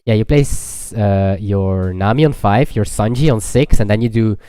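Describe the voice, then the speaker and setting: A man casually commentating a card game tournament stream, explaining plays in relaxed gamer slang. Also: fairly low pitch, moderate pace, clean tone, no room sound.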